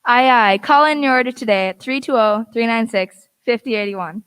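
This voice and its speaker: A woman's voice: on the high side, normal speed, a bit bright, smooth, close to an everyday voice. A young woman playing a pirate sailor in an amateur scripted radio ad. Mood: promotional. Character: grumbling pirate sailor fed up with fish.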